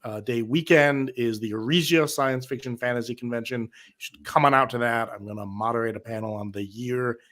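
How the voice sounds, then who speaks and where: Male podcaster, medium pitch, casual panel talk. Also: steady tone, a little fast, fairly bright tone. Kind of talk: casual chat.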